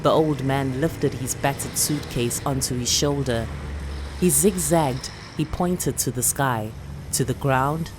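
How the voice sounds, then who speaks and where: A woman's voice: a bass voice, unhurried, background noise. A woman with a South African accent reading a short story as dramatic literary narration.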